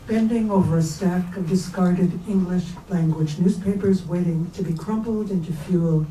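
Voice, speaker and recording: A woman reading literary prose aloud at a staged reading, in a measured, composed storytelling delivery. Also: fairly low pitch, warm tone. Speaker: reader at a staged book reading. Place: bookstore event space. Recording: echoey room, distant mic, faint noise.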